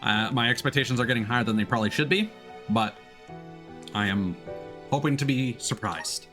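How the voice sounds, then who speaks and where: A man's voice: medium pitch, a little fast, fairly bright tone. A man giving casual gaming commentary, thinking aloud and sometimes reading on-screen text. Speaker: streamer. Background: game music.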